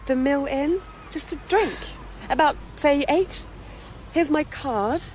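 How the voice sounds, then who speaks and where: Eager young British woman, higher voice. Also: slow, a little mellow, slight background noise. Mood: eager, pleading. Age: young adult. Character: eager young woman on a documentary film crew. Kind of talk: naturalistic radio drama dialogue.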